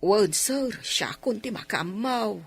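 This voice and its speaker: A woman's voice: medium pitch, lively intonation, measured pace, husky. A woman playing a folksy, loyal household nanny in an Ilocano radio drama, exclaiming expressively with an Ilocano accent. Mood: deferential. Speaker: voice actor in radio comedy drama.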